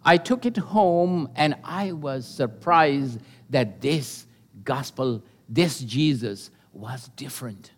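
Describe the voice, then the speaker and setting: Older man preaching slowly. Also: mid-range voice. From Pakistan. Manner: earnest and measured, with pauses and hesitations. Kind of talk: sermon with personal testimony.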